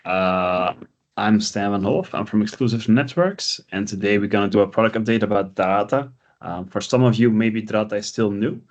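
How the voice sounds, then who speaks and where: A man's voice: on the low side, fairly even intonation, moderate pace. A man hosting a recorded webinar, talking in a hesitant, conversational way with many fillers. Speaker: webinar host.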